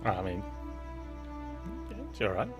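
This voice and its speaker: A man's voice: low voice, slow. A man giving casual live commentary as he streams a video game. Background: game music.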